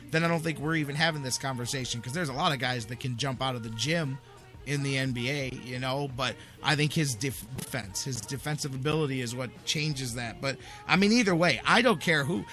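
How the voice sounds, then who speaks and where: Male sports radio host, forceful and sharp, slightly high register, opinionated and insistent. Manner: emphatic, insistent, repeats his points. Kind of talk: opinionated sports talk with callers.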